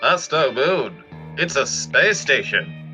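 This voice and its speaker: A man's voice: mid-range voice, big pitch swings, slow, over music, voice pushed up, low-quality recording. A man voicing a wise old mentor knight at an amateur table read. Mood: grave.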